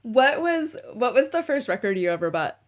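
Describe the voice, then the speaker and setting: A woman's voice: a little high, big pitch swings, normal speed. A woman hosting a casual interview, giving brief reactions and laughing often. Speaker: interview host.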